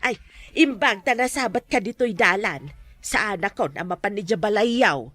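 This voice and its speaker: A woman with an Ilocano accent playing a brisk, bossy village auntie in a comedy drama. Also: medium pitch, expressive, fairly bright tone, no room sound, soft background music. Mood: friendly.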